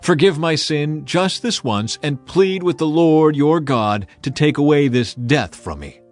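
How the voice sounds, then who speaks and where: A man's voice: a little high, expressive, unhurried, faint music behind, voice pushed up. Man voicing Pharaoh, a stubborn, haughty king. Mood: pleading.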